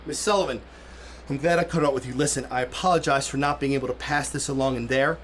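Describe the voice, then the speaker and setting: An actor playing a smooth, evasive 1930s city planner in a radio drama, speaking in a polite, placating, measured way. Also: male voice, mid-range voice, fairly even intonation, slight background noise, close to an everyday voice. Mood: confiding, placating. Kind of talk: period radio drama acting.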